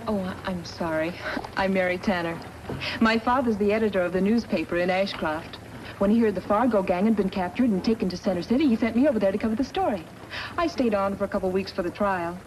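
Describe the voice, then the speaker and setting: A woman's voice: mid-range voice, fast, natural voice. A woman playing an eager, kind young newspaper reporter in a 1950s radio western, earnest and gushing. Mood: chatty, eager. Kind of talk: 1950s radio drama acting. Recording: noisy 1950s radio recording.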